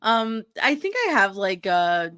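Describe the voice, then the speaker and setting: A woman with a mid-pitched voice co-hosts a podcast in casual conversation. She halts and corrects herself as she goes, and the sound is dry and close. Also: animated, a little slow, sharp tone, clear, resonant tone, no echo. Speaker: podcast co-host. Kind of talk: casual chat about a TV episode.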